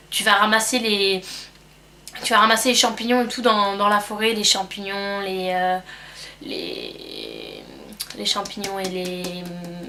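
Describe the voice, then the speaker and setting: A woman's voice: medium pitch, bright, forceful tone. A young French woman with a cold chatting at home as a beauty vlogger, rambling and hesitant with lots of fillers. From France. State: has a cold. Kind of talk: casual chat answering a tag.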